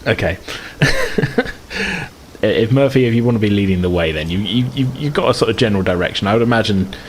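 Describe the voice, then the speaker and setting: A man's voice: lowish voice, expressive, fairly quick, a little hiss or hum. A man casually narrating a tabletop roleplaying game as game master, in a conversational, hedging way.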